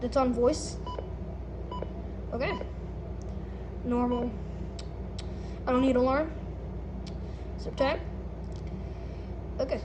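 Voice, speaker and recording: A woman casually narrating an unboxing and setup in a halting way, muttering short phrases to herself. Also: high voice, steady tone. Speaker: unboxing video host. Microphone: handheld camera or phone, no tripod. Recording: noisy handheld home video recording.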